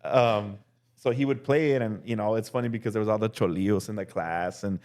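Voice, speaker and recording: A man's voice: fairly low pitch, fairly quick. Laid-back young man telling stories. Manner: relaxed, heavy use of "like" and "dude". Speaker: podcast guest, elementary teacher. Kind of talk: casual storytelling chat.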